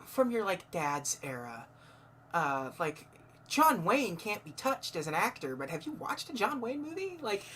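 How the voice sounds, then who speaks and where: A woman co-hosting a podcast, chatting casually about films in a halting way, circling back over her phrases. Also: mid-range voice, sing-song, a bit bright, a little hiss or hum. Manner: halting, repeats and restarts phrases. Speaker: podcast co-host.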